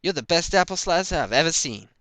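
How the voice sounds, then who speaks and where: Mother pony for storybook reading, male reader: raised pitch, folksy, brisk, kindly, encouraging. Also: lively intonation, bright, forceful tone, no echo.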